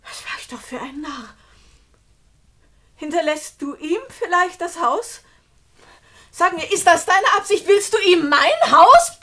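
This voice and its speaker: An actress playing a bitter, downtrodden middle-aged spinster in a German radio play, speaking in an agitated, stammering way. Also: female voice, extremely high pitch, expressive, a little slow, long pauses, bright, forceful tone, exaggerated voice. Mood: wounded, distraught. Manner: agitated, stammering, repeating words. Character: bitter, overwrought spinster, a downtrodden female companion.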